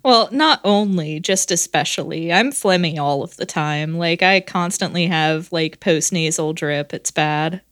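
A podcast co-host with a dry, wry sense of humor, chatting casually. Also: female voice, fairly low pitch, measured pace, hardly any pauses, a bit bright, no room sound. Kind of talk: casual chat.

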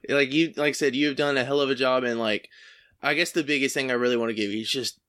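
A man's voice: mid-range voice, steady tone, quick pace, fairly bright tone. A man hosting a podcast interview, rambling and hesitant, with lots of fillers. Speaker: podcast host. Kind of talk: casual interview chat.

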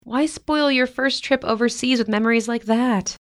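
A woman acting a patient, sensible mother who comforts with gentle firmness in a modern audio drama. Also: on the high side, moderate pace, natural voice. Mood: reassuring, firm. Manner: soothing, reassuring, gently firm.

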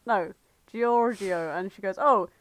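A woman's voice: mid-range voice, a little slow, dry recording. A British woman chatting casually as a co-host, blunt, sarcastic and sweary. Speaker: podcast co-host. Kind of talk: casual chat.

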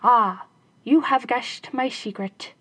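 A woman's voice: a little high, slow pace, sharp tone, dry recording, heavily acted. A woman doing a crafty little shoemaker. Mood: sly. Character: crafty little male shoemaker, clever and spirited.

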